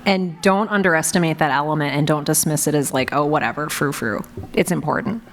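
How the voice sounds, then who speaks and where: A woman with a fairly low voice leads a live panel discussion. She is encouraging and animated as she puts questions to her guests and reacts to their answers. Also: fairly quick. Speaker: panel moderator. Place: convention panel room. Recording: noisy live convention panel room.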